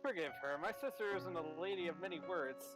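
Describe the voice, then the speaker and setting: A man's voice: high voice, a little fast, lightly acted, low-quality recording. A man voicing a chatty, awkward, apologetic schoolgirl in a visual novel, stammering politely. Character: chatty, awkward, apologetic transfer-student twin sister. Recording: background music under the voice.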